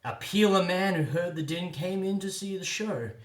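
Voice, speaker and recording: A man giving a theatrical, expressive dramatic recitation of a comic ballad as a poetry reader. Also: sits high, normal speed, echoey room.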